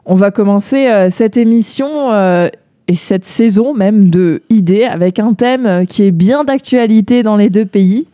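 A woman's voice: medium pitch, unhurried, warm tone, no echo, clean studio sound. A young woman from Alsace co-hosting a radio show, talking casually with many hesitations and easy laughs. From Alsace, France. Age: young adult. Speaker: radio co-host.

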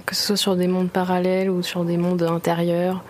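A woman's voice: on the low side, monotone, moderate pace, a little mellow, a little hiss or hum. A woman taking part in a casual panel discussion. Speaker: film show panelist.

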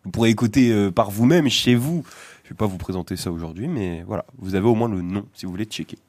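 Low-voiced man, relaxed teasing guest. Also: fast talker, no echo. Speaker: radio show guest. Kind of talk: casual radio chat. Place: radio studio.

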